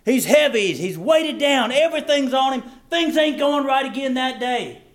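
A man preaching a fervent, folksy evangelical sermon, swinging between shouts and hushed appeals. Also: very high-pitched, expressive, normal speed. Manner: folksy, plain-spoken grammar with rhetorical questions.

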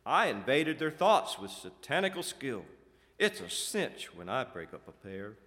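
A man's voice: mid-range voice, big pitch swings, measured pace, pushed and bright. A man voicing a sly, jealous, shriveled little imp in a dramatic recited tale. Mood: sly, boastful. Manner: sly, boastful. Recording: echoey hall with long reverb.

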